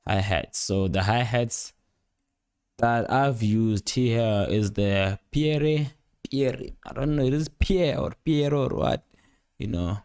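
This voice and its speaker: A young man giving a casual, unscripted music production tutorial, rambling playfully in slangy speech with excited interjections. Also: medium pitch, slow pace, smooth, dry recording, studio quality. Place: indoor home studio at a computer. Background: loud instrumental beat and instrument sounds playing.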